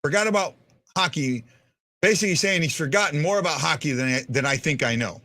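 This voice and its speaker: A man's voice: fairly high pitch, brisk, bright, forceful tone, no room sound. Blunt man in his fifties, dry close sound. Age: 50s. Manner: blunt, repeats himself. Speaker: host of a hockey fan show. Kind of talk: casual livestream chat answering viewers' comments.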